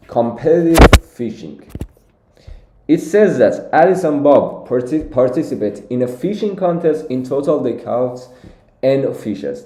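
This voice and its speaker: A man's voice: mid-range voice, mellow, reverberant. Iran-born man explaining code problems. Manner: thinks aloud with frequent fillers and restarts. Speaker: competitive programming streamer. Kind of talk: live solution walkthrough.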